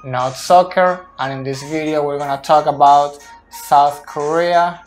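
A man in his thirties, speaking English as a second language, slowly explains football tactics at a whiteboard in a small room, often checking in with "okay?", recorded close on a phone. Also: fairly high pitch, fairly even intonation, faint music behind. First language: not English. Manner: slow, deliberate, frequent "okay?" checks. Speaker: football analyst. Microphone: phone camera close up.

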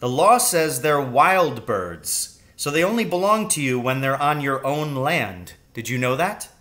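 Man playing a hearty, excitable storybook father. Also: mid-range voice, measured pace, voice pushed up. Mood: emphatic. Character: warm, excitable father, adult man, sharing adventure. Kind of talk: storybook read-aloud.

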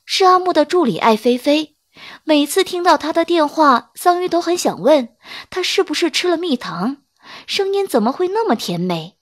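A woman's voice: sits high, big pitch swings, moderate pace. A woman reading a romance novel as a dramatic audiobook narrator.

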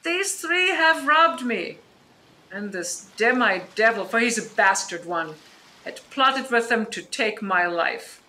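A woman playing a composed, commanding sorceress in a Shakespearean radio play, delivering verse with measured authority. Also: on the high side, expressive, sharp tone, exaggerated voice, low-quality recording. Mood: accusing. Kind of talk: Shakespearean radio drama acting. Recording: faint noise behind online recording.

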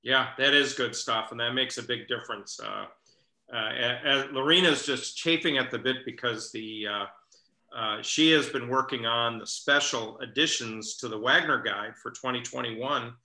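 An older American man hosts a casual panel with a very bright, sharp-edged voice. He talks conversationally over a video call, with audible room echo around him. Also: medium pitch, moderate pace. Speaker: show host.

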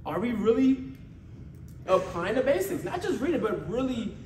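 Male American preacher, notably high voice, conversational and exhortative, seeks agreement. Distant mic in a reverberant room. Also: sing-song, normal speed, dark tone. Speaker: church ministry leader preaching a sermon. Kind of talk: informal motivational sermon with call-and-response. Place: church meeting room with responding congregation. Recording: echoey room from far off, faint noise.

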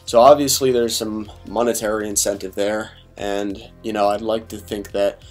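A young man with a low voice talks softly and keeps it hushed, as if trying not to wake anyone. He rambles casually with plenty of fillers and restarts. Faint music runs under the close voice-over. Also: level tone. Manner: deliberately quiet and low-key. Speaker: fitness vlogger. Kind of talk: quiet late-night voice-over.

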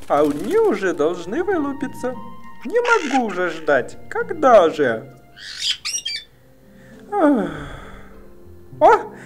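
A woman voicing an excitable mother bird in a cartoon. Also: high voice, heavily acted. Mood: overjoyed. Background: music.

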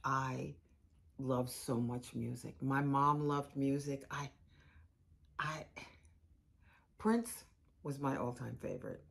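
Actress as a husky, animated older woman. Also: rumbling low, exaggerated voice. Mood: candid, enthusiastic. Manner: candid, emphatic, repeats phrases. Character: candid, animated law school dean reminiscing. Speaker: actor in a dramatized narrative. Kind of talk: conversational dramatized monologue. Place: room with bookshelves.

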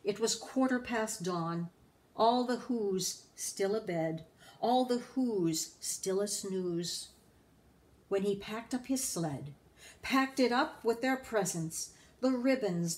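A woman in her sixties reading a children's storybook aloud expressively, deliberately and with emphatic pauses. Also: medium pitch, lively intonation, darkish tone. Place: living room at home.

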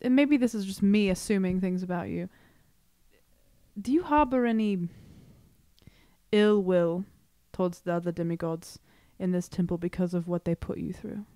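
A woman's voice: fairly low pitch, normal speed, warm tone, no room sound, clean studio sound. A young woman chatting casually in role as a tabletop game player. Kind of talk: casual role-play chat. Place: small indoor studio room. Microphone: tabletop microphone, close.

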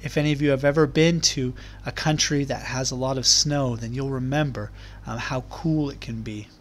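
A man reading aloud to young children as a teacher, explaining things in clear, simple terms. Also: medium pitch, fairly even intonation, moderate pace. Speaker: primary school teacher. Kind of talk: children's read-aloud lesson.

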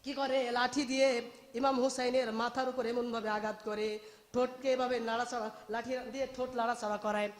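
High-pitched impassioned preacher man in reverberant hall. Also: flat delivery, a little fast. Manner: emotive, declamatory, repeats phrases for emphasis. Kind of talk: impassioned religious sermon, storytelling to a congregation.

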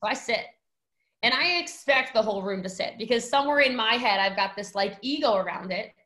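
Emphatic, higher-voiced woman telling a story. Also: expressive, a little fast, pushed and bright. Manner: emphatic, dramatic, slangy. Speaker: podcast guest, network marketing entrepreneur. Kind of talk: animated personal storytelling. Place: indoor room at home, on a video call.

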